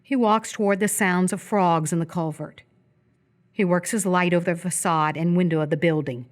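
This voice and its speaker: A woman with a slightly low voice narrates a radio play. She reads scripted action as the story's narrator, in present-tense descriptive prose. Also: fairly even intonation, normal speed. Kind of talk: scripted narration of a screenplay for live radio theatre.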